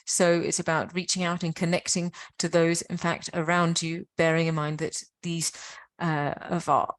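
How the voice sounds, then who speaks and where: A British woman with a slightly low voice presents slides in a webinar. She explains at length, pausing and restarting her sentences as she goes. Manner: explanatory, with frequent pauses and restarts. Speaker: presenter for a science park network.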